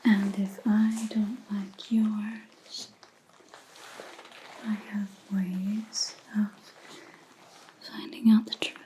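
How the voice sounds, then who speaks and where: A woman playing a menacing, coolly controlled vampire hunter in a whispered ASMR roleplay, slow, deliberate and threatening. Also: mid-range voice, flat delivery, dark tone, a little hiss or hum, poor audio. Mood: cold, menacing.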